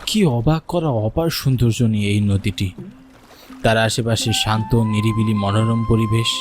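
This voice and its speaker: An actor playing a worried grown son, narrating a horror cartoon in an expressive, wistful first-person storytelling style. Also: male voice, lowish voice, normal speed, close to an everyday voice. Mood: pensive. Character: worried city-working son narrating his eerie journey home. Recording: music behind the voice.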